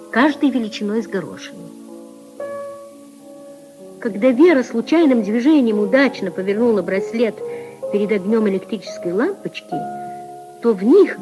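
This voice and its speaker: A woman giving expressive, deliberate literary narration for a 1950s radio drama. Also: a little high.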